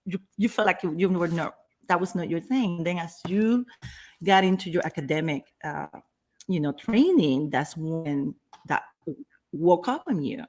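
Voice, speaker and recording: Enthusiastic, slightly low-voiced woman interviewer. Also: lively intonation, normal speed, a little mellow. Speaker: interview host. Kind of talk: conversational interviewing.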